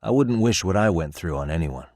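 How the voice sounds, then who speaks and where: A man's voice: sits low, lively intonation, a little fast, a little mellow, no echo, studio quality. An actor playing a casual, wry and encouraging male detective who doubles as a school counselor, in an English video game dub, with a conversational delivery. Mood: earnest, gentle.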